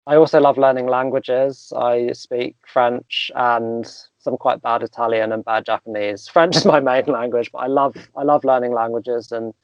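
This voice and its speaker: A young man, a coach guest in an interview, talking thoughtfully with many pauses, fillers and restarts. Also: mid-range voice, steady tone, smooth, no echo, muffled recording. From England. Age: young adult. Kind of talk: casual interview chat.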